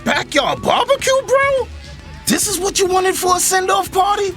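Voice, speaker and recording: A man's voice: extremely high pitch, expressive, moderate pace, fairly bright tone, a faint crowd. An actor playing a brash, teasing older brother, a young man trading slangy, mocking banter in an audio drama. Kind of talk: scripted audio drama dialogue. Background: music.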